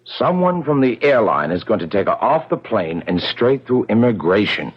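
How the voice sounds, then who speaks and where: Conciliatory male manager type, raspy and businesslike, vintage radio drama on muffled recording. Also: fairly low pitch, big pitch swings, normal speed. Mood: wistful. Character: apologetic, vouching man named David managing a star's arrival. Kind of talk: 1970s radio drama acting. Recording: dull, lo-fi old radio recording.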